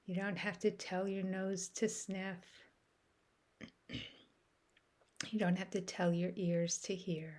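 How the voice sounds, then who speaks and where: A woman guides a meditation at a slow, unhurried pace. Her voice sits at an average pitch for a woman. She speaks softly and soothingly, setting out short measured phrases with long pauses between them. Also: flat delivery.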